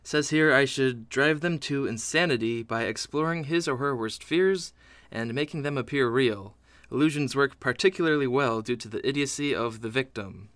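An actor playing a mischievous, sneering haunter in a comedic audio drama, full of sarcastic muttered asides. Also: male voice, mid-range voice, normal speed, hardly any pauses, a bit bright, no echo, close to an everyday voice. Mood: scheming, smug. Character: sneering mischievous male haunter reading a scaring manual.

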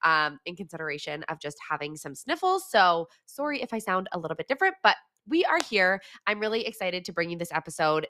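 A young woman hosting a podcast, chatting frankly with fillers, her voice raspy and congested from a cold. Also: lowish voice, pushed and bright. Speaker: podcast host. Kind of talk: casual solo podcast talk; scripted intro over music.

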